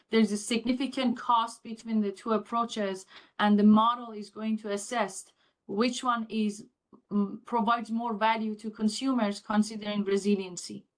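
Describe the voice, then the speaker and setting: Woman from iran on an expert panel, pretty flat tone, mid pitch, pauses to find words. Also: rough recording. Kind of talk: spontaneous panel answer.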